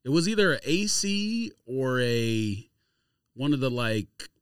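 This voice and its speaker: A man's voice: mid-range voice, sing-song, slow pace, clean tone, no echo, clean studio sound. An American man chatting casually as a podcast co-host, recorded a little off the microphone. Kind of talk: casual chat.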